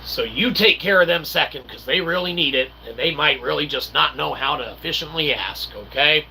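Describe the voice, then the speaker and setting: A man's voice: a little high, moderate pace, creaky, bright, forceful tone, a little hiss or hum, poor audio. A man giving a rambling informal monologue in mock-formal, wordy phrasing, often checking in with a short questioning tag. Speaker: channel host and self-published author.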